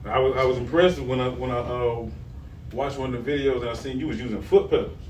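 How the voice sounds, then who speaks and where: A man's voice: medium pitch, steady tone, quick pace. A man hosting a podcast, asking questions in a rambling, filler-heavy casual way. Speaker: podcast host. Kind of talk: casual interview chat. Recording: roomy, distant mic, faint noise.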